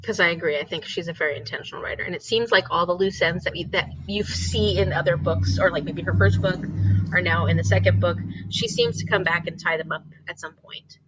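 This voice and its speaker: A woman's voice: on the low side, fairly even intonation, fairly quick, lo-fi sound, background noise. A woman co-hosting an online book discussion in a casual, chatty style.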